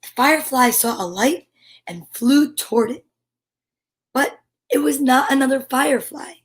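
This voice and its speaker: A woman's voice: high voice, unhurried. A woman hosting a children's storytime, reading a picture book aloud expressively with chatty asides. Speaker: storyteller and host.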